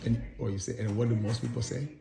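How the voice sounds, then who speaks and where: A middle-aged man conducting an informal interview, speaking haltingly and rephrasing his questions as he goes. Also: medium pitch, fairly even intonation, gravelly, noisy background. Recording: echoey room with people in background.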